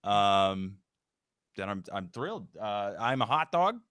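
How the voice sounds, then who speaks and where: A man's voice: on the low side, sing-song, slow, a bit bright, clean tone, no room sound. A man hosting a casual live stream in a joking, rambling way. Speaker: host and script writer.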